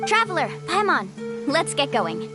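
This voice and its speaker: A woman's voice: high voice, very animated, normal speed, loud music behind the voice. An actress playing an upbeat, caring young woman who works as a confident guide in an anime-style video game. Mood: friendly, persuasive.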